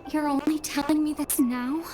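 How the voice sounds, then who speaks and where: An actress playing an earnest young game heroine, a long-lost twin sister who speaks in a tender, pleading way. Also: female voice, sits high, fairly even intonation, moderate pace, mellow, a little hiss or hum, natural voice. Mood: dismayed. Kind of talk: scripted game voice acting. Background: background music.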